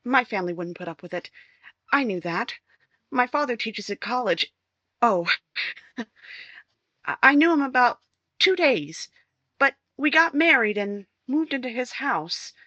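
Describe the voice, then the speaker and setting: Actress as high-voiced, distraught young wife. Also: female voice, expressive, bright, forceful tone, no echo, lo-fi sound, exaggerated voice. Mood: anguished recounting. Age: young adult. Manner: emotional, halting, pleading. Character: distraught young wife confessing, pleading and wounded. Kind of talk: 1950s-style radio crime drama acting.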